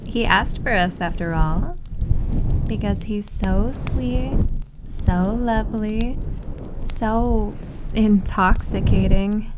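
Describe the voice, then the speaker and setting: A woman's voice: mid-range voice, slow pace, heavy background noise, natural voice. A woman playing a doting, possessive, seductive yandere maid in roleplay ASMR, cooing and teasing in a syrupy way. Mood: sweetly possessive.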